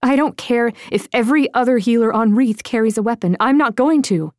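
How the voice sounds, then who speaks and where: A stubborn, fiery young healer woman, as a woman narrator plays her in an audiobook, her voice pushed higher than the narration. She speaks with indignant, strident defiance. The sound is dry and close, with no room at all. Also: brisk, darkish tone, no echo, natural voice. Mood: defiant.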